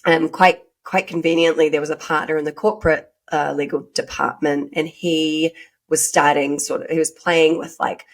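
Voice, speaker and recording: Kiwi woman w new zealand accent, lowish voice, being interviewed, casual and a bit hedgy how she talks. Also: little pitch movement, moderate pace. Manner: informal, hedging with "sort of" and "like". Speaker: podcast guest. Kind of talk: conversational career interview.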